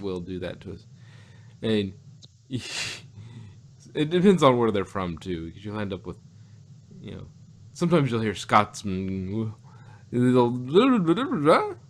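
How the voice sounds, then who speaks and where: Low-voiced man chatting in a rambling way. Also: a little hiss or hum. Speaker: podcast co-host reviewing audio drama. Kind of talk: casual chat.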